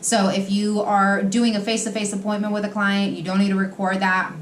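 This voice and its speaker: A woman hosting an explainer, answering common questions in an instructive, semi-scripted way straight to the viewer. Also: mid-range voice. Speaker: host, insurance industry advisor answering agent questions. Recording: roomy echo, faint background noise.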